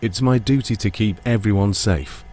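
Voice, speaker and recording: A man's voice: on the low side, moderate pace, a little mellow. A man narrating a first-person dramatised audiobook, also voicing its lead character.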